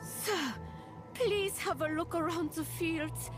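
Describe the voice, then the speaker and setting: Very high, pleading villager. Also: female voice, slow, over music. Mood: pleading, worried. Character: pleading, distressed female farmer villager. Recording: game score behind.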